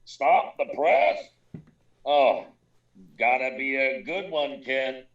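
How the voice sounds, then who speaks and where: Man acting as sputtering newspaper boss, gravelly, slowish delivery, excitable, old radio drama. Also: medium pitch, far from the mic, muffled recording. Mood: curious, gruff. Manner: halting, sputtering, exclamatory. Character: blustery, excitable big-city newspaper editor. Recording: roomy echo, off the mic.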